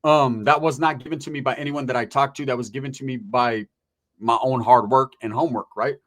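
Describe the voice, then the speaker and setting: A man's voice: medium pitch, steady tone, a little fast. A man hosting informal true-crime commentary, talking straight to viewers in emphatic street slang with rhetorical questions and some swearing. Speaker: online commentary host.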